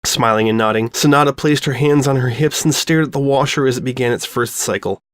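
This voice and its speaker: A man reading a scripted story aloud as an expressive storyteller. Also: medium pitch, brisk, no echo, clean studio sound.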